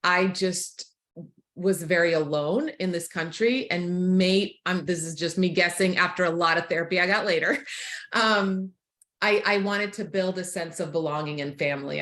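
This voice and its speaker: A woman in her forties from Croatia, telling her life story frankly as a podcast guest, laughing easily and going quiet on painful parts. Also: on the low side. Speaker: guest, author and speaker. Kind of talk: candid personal storytelling. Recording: roomy echo.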